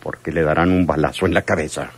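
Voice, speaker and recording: Radio drama villain: cold gang boss, deep raspy tone. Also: male voice, steady tone, fast talker. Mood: cold menace.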